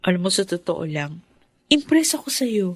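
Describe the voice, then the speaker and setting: A woman's voice: mid-range voice, very animated, moderate pace, slightly rough, no room sound. Supportive young female friend, dry sound. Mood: encouraging. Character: supportive, admiring young woman, a loyal friend. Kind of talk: Filipino radio drama acting.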